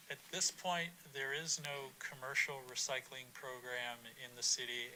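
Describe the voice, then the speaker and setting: A man on city staff answering public questions at a council work session in a measured, explanatory way. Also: mid-range voice, sharp tone, a little hiss or hum, poor audio. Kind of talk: public meeting response.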